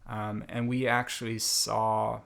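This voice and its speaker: Slow-talking young man, low voice. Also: flat delivery, vocal fry, fairly bright tone. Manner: thoughtful, unhurried, many fillers and restarts. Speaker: podcast guest. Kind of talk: casual interview conversation.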